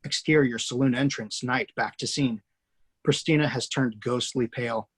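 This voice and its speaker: Husky-voiced man reading stage directions. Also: mid-range voice, normal speed. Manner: plain, steady reading of scene directions. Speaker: screenwriter hosting the table read. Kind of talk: reading stage directions, then casual chat. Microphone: video call mic.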